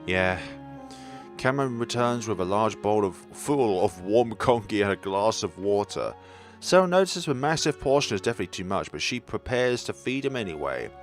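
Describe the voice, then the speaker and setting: Lively man narrating a game over music. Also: on the low side, normal speed. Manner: stumbles and rereads lines, chatty asides. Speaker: video game streamer reading a visual novel aloud. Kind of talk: let's-play narration with reactions.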